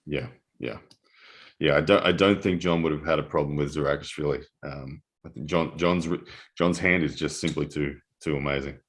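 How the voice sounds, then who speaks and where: A man with a very low, deep voice calls a live arm-wrestling match, breaking down grip and technique between restarts. His voice is worn and hoarse from the early hour. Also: steady tone, moderate pace. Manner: analytical, with frequent restarts. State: losing his voice, early morning. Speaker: armwrestling commentator. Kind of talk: live sports commentary.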